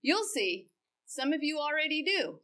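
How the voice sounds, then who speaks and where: A woman's voice: sits high, very animated, measured pace, sharp tone, full character voice. A woman voicing a weary donkey with dry, sardonic asides in an amateur church dramatic reading. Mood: earnest. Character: wry weary donkey carrying Jesus.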